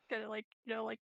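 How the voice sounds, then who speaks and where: A woman talks casually at a tabletop game in a fairly high voice with plenty of vocal fry. She sounds tentative and giggly, with a dry, close sound. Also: no echo, highs cut off. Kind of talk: casual chat.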